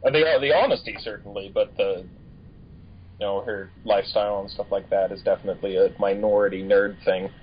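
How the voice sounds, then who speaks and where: A man from the United States co-hosting a casual fan podcast, talking in a measured, politely hedging way. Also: lowish voice, fairly even intonation, husky. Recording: dull, lo-fi voice call audio.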